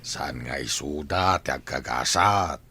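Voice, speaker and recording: An actor playing a grumbling, teasing village husband and father in an Ilocano radio drama, with a husky voice. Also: rumbling low, unhurried, pushed and bright, full character voice.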